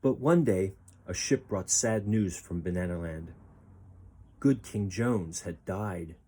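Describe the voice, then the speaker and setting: A man reading a children's story aloud as a storyteller, expressive and deliberate. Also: low voice, slightly rough.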